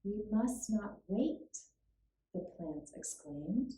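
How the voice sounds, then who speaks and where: A woman narrating a scripted children's puppet-show tale in a measured, deliberate way with pauses. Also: medium pitch, lively intonation, warm tone. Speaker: storyteller. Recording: roomy, echoing room sound.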